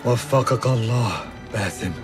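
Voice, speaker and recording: An actor playing a badly wounded, loyal assassin, halting and earnest, in a game cutscene. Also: male voice, medium pitch, close to an everyday voice. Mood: solemn resolve. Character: wounded, loyal assassin brother, stoic and principled. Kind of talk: dramatic video game cutscene acting. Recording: film-score music behind the dialogue. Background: dramatic background music.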